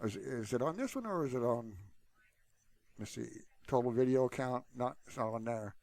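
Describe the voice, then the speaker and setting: A man's voice: mid-range voice, animated, normal speed, vocal fry, darkish tone, no room sound. A man hosting his own channel, talking to viewers in a casual, unscripted ramble peppered with "you know". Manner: informal and unscripted, repeatedly saying "you know". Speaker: YouTube channel host.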